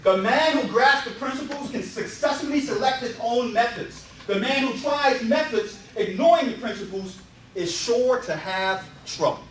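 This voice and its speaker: A man speaks as a motivational seminar presenter, in a high-pitched voice. He hammers his points with repetition and rhetorical questions. The recording is echoey and distant, with some faint background noise. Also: normal speed. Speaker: real estate seminar presenter. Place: indoor meetup presentation room.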